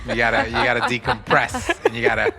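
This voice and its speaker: A man's voice: medium pitch, big pitch swings, a little fast, over loud music. A man from Trinidad, a podcast guest, telling travel stories in a laid-back, laughing way. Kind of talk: casual podcast chat.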